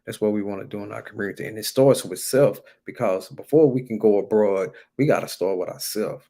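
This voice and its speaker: Low-voiced American man giving an informal sermon. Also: fairly quick, vocal fry, warm tone. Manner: emphatic, repeats key phrases, colloquial. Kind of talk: informal sermon, exhorting talk.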